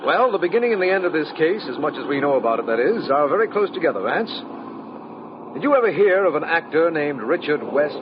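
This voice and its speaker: A genial district attorney with a slightly high male voice, measured and wry in a formal way. Old radio detective drama, dull and noisy in sound. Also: big pitch swings. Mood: explanatory. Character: dryly genial male district attorney briefing his friend.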